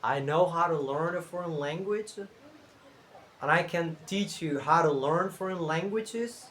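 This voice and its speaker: A young man from Cuba with a Cuban Spanish accent, Spanish his first language, talking casually to camera as a channel host, unhurried and thinking aloud with fillers and restarts. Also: on the high side, a bit bright, slight background noise.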